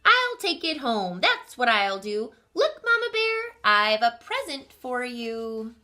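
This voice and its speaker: A woman voicing an excitable, eager male bear in a children's story, with bouncy exclamations. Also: a little high, slow pace, full character voice.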